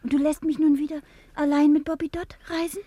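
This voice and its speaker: Woman acting an affectionate impatient wife, high pitch, coquettish, expressive exclamations, adventure comedy drama. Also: brisk, close to an everyday voice. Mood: curious, amused.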